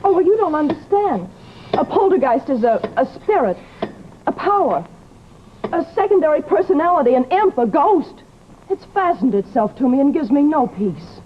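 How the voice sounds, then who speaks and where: A woman's voice: sits high, big pitch swings, moderate pace. An actress playing a troubled, genteel young lady in old-time radio drama, speaking in a polite, earnest, imploring way. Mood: distressed. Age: young adult. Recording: muffled, noisy old radio recording.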